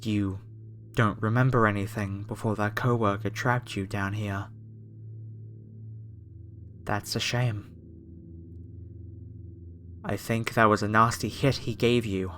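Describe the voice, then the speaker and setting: A man's voice: on the low side, steady tone, slow pace, pauses often, a bit bright, a little hiss or hum. A man playing a polite, apologetic masked cultist, a former music director, in a horror ASMR roleplay addressed to the listener. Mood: calm, reverent menace.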